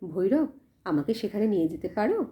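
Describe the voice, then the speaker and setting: A woman voicing a gentle, determined, generous village woman in an audio story, kindly and resolute. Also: medium pitch, very animated, moderate pace, natural voice. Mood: inquiring.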